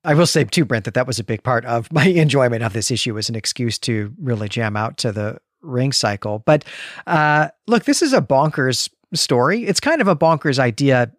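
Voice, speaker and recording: A man's voice: medium pitch, no echo, clean studio sound. A man co-hosting a podcast, chatting casually and with enthusiasm about what he has been reading. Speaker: podcast host. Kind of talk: casual book discussion podcast.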